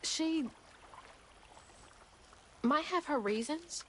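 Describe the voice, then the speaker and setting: An actress playing a headstrong, compassionate young heroine in a game, earnest and outspoken. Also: female voice, high-pitched, extremely slow, a bit bright, slight background noise, heavily acted. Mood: insistent. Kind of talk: dramatic game dialogue.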